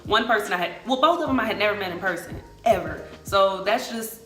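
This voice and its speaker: A woman's voice: mid-range voice, a little fast, sharp tone. An American woman in her late twenties chatting casually and expressively to viewers as a travel vlogger, in colloquial language. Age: late 20s. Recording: echoey room, music underneath.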